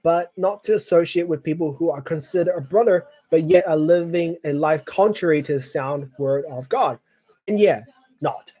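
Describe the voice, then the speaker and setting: A man's voice: fairly high pitch, normal speed, dark tone, faint music behind. Rambling young man, lots of fillers. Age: 20s. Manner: clears throat often. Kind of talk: casual live chat reading viewer comments.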